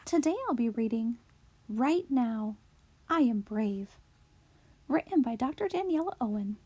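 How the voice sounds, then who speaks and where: A woman reading a picture book aloud as a storytime host, with deliberate, clear phrasing for young children. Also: a little high, lively intonation, darkish tone, dry recording. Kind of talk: children's read-aloud narration.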